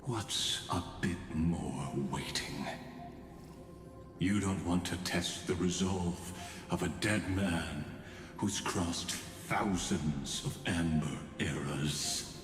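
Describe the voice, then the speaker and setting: An ancient mechanical mastermind from a game, deep-voiced and coldly menacing. He speaks extremely slowly, weighing each thought like a philosopher. His words ring in long reverb over music. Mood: brooding, defiant. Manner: slow, deliberate, philosophical, ominous. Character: ancient, patient machine mastermind, a dead man's mind, coldly menacing. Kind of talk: scripted game character dialogue.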